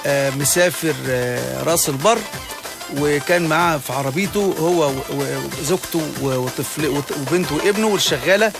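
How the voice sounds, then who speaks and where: A man hosting a radio show, interviewing callers by phone in quick, restless talk full of fillers and restarts. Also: on the high side, gravelly, over music. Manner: fast, animated, many fillers and restarts. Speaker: radio host.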